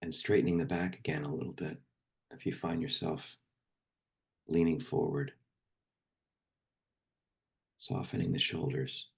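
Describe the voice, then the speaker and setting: Very deep, gravelly man guiding meditation. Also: fairly even intonation. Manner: slow, unhurried delivery with long pauses. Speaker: meditation guide and poetry reader. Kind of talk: guided meditation with poetry reading.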